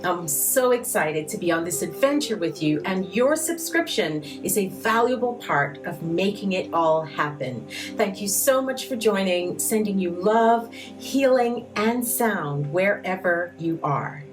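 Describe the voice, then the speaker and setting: Woman podcast host, effusive and welcoming, soft music bed. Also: mid-range voice, animated, unhurried, hardly any pauses. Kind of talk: warm podcast interview.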